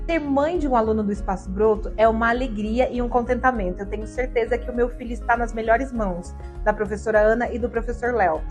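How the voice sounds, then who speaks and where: A Brazilian mother gives a testimonial quickly in a fairly high voice. Soft music sits behind her. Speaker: parent giving testimonial. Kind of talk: promotional testimonial.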